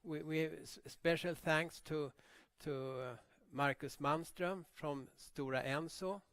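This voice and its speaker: An older man gives a formal welcome to a guest in a university lecture hall. He talks very slowly and haltingly, stopping often on filler sounds. His voice comes through clear, smooth and dry. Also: on the high side, a bit bright. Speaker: university host introducing a guest. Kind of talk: formal introduction.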